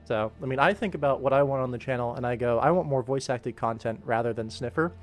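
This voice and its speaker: A man with a mid-pitched voice hosts a livestream as an animator. He talks casually while he works, with faint music playing behind him. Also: fast. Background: faint background music.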